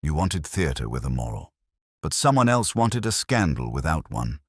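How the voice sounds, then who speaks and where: A man voicing a gentle, wry, probing police inspector in a detective drama, speaking in measured, pointed phrases. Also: rumbling low, very animated, no room sound, exaggerated voice. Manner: measured, dry, pointed questioning.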